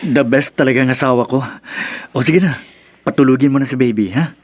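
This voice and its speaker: An actor playing a devoted, placating young husband and son in a Filipino radio drama, hesitant and coaxing, with stammers. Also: male voice, medium pitch, fairly even intonation, brisk, natural voice.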